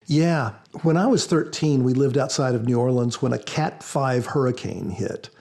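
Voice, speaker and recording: A man speaking as an author on a podcast, explaining things in a measured, reflective way. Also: mid-range voice, vocal fry, darkish tone. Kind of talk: measured explanatory talk with scripture readings.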